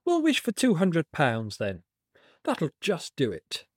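A man voicing a cheeky, jesting young son in a storybook reading, flippant and teasing, with a husky edge. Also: fairly high pitch, wildly swooping pitch, slow pace, dry recording, clean studio sound, voice pushed up, heavily acted. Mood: playful teasing. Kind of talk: dramatised storybook character reading.

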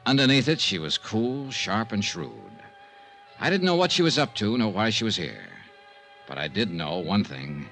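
An actor playing a hard-boiled, dryly wisecracking investigator in an old-time radio mystery. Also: male voice, on the low side, animated, moderate pace, vocal fry, pushed and bright, faint music behind, full character voice, low-quality recording. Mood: suspicious. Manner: dry, wisecracking. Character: wry, hard-boiled male investigator narrating his case. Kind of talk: 1950s radio detective acting and narration.

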